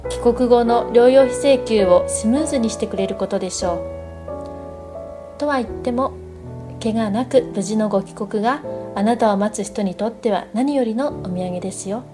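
A woman's voice: fairly high pitch, normal speed, over music. A woman presenting a scripted radio segment in the style of an oracle's message.

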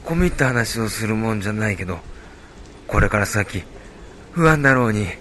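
A man playing a kindly, concerned retired stroke patient in an amateur radio drama, with gentle turns of phrase. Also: lowish voice, expressive, brisk, a little hiss or hum.

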